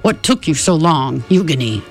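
A woman doing a blustering, shouting father. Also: low voice, very animated, normal speed, faint music behind. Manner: bellowing, lecturing. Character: blustering middle-aged father, shouting and nervous.

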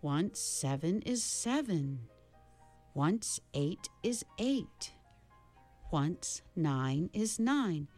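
A woman doing a slow, mocking sinister man. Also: on the low side, very animated, a bit bright, soft background music. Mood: chanting. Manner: slow, drawn-out, chanting.

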